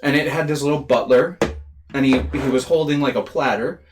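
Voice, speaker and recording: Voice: medium pitch, fairly quick, background noise. A person in their late twenties chatting casually as a podcast co-host. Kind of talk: casual group chat.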